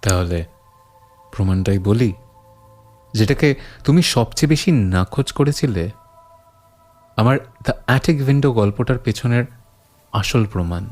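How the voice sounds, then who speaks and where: A man playing a stubborn, imaginative horror writer in an audio story, deliberate and argumentative, with dramatic pauses. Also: fairly low pitch, animated, a little mellow, faint music behind. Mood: brooding narration. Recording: clear background ambience noise.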